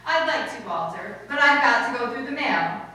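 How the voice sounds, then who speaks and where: A woman's voice: on the high side, animated, normal speed, sharp tone. A woman playing a wry, wisecracking English teacher with dry asides in an old-time radio comedy. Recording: very echoey, distant, noisy room recording.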